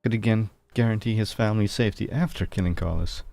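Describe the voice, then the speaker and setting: A man giving casual, halting, wry commentary as he plays a video game. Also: fairly low pitch, gravelly, mellow. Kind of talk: casual game commentary, sometimes reading dialogue aloud. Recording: game music behind the voice. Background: game music.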